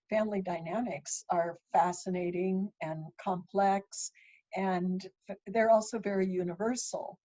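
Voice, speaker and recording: Thoughtful older lady talking about her life, mellow warm voice, kinda dull lo-fi sound. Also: medium pitch. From Ethiopia. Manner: thoughtful, with frequent fillers and restarts. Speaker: author and interviewee. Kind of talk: reflective interview answers.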